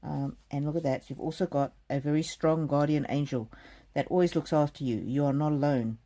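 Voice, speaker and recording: A middle-aged woman from South Africa giving a gentle live oracle card reading, speaking softly and addressing viewers by name. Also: sits low, fairly even intonation, a little fast. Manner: gentle, soothing, talks straight to viewers by name. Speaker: card reader.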